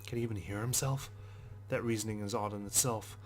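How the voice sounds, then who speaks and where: A man's voice: fairly low pitch, fairly even intonation, fairly quick, loud music behind the voice. A man hosting a game playthrough, reading visual novel narration aloud in a brooding, sweary way with casual asides. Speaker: let's play host.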